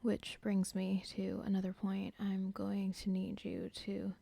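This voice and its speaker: A woman's voice: medium pitch, completely flat delivery, a little slow, gravelly, mellow, dry recording. A woman whispering an ASMR roleplay as a cold, controlling female cartel boss who talks in a menacing, dismissive way. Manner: whispering throughout.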